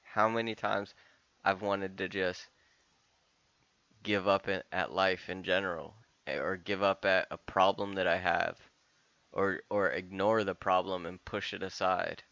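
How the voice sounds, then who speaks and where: A man's voice: low voice, steady tone, unhurried, gravelly, sharp tone, no echo, dull audio. A man of about thirty talking casually and candidly in a rambling solo podcast monologue, often restarting and swearing. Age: 30s. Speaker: podcast host.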